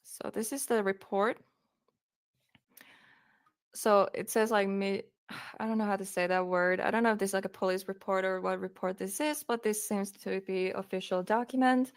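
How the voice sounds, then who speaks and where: Finnish-accented woman with a gravelly voice. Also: medium pitch, steady tone, normal speed, no room sound, studio quality. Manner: hesitant, many ums, reads quotes aloud. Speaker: true crime streamer. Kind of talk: casual live-stream case reading.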